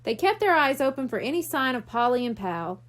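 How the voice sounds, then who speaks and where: A woman's voice: high-pitched, very animated, a little fast. A woman reading a children's storybook aloud as scripted narration. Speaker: audiobook reader.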